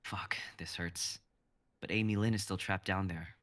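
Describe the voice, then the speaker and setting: A man's voice: deep voice, fairly even intonation, a little slow, fairly bright tone. A man reading wounded, dazed Kai. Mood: dazed, strained.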